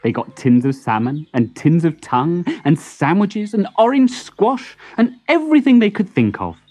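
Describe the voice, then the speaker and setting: A woman reading a children's story expressively and deliberately, with dramatic pauses. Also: on the low side, very animated, darkish tone, faint music behind.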